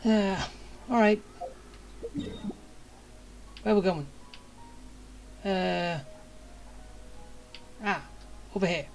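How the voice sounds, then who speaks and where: A woman's voice: medium pitch, drawn-out words, creaky, long pauses, darkish tone, slight background noise. A woman streamer giving casual gameplay commentary with dry, sarcastic asides.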